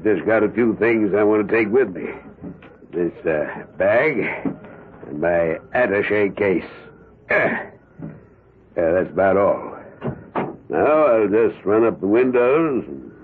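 A man's voice: lowish voice, expressive, husky, full character voice. An older man playing an elderly, cold and boastful Nazi war criminal in hiding, in 1970s radio drama style. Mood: nervous, courteous. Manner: halting and courteous, turning menacing and grandiose. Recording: muffled vintage radio broadcast.

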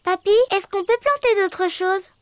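A woman's voice: very high voice, unhurried, a little hiss or hum. A woman voicing a cheeky, eager little girl piglet in a children's cartoon. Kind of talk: children's cartoon acting in French dub. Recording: music under the voice.

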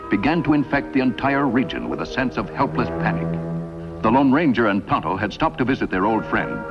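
A man narrating a 1950s western adventure with grand, emphatic announcer delivery. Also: on the low side. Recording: muffled vintage soundtrack over loud music.

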